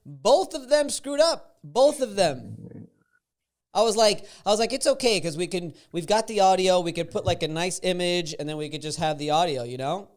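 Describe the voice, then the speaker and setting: A man in his thirties hosting a casual podcast interview, energetic and chatty, laughing easily as he talks. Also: sits high, normal speed. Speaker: podcast host.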